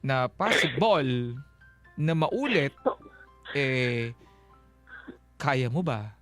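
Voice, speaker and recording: A man's voice: medium pitch, animated, extremely slow, a bit bright. A man with a Filipino accent hosting a radio advice show, talking things through conversationally. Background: faint music.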